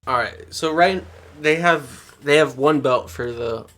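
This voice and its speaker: A man on a podcast panel giving opinions on pro wrestling in an informal, somewhat halting way. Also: on the high side, a bit bright. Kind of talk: casual chat about pro wrestling.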